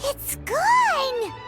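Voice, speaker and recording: A woman voicing a small, excitable, childlike female companion creature in a video game, squeaky and full of wonder. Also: exaggerated voice. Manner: exclamatory, wide-eyed, gasping. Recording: loud music behind. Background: game music.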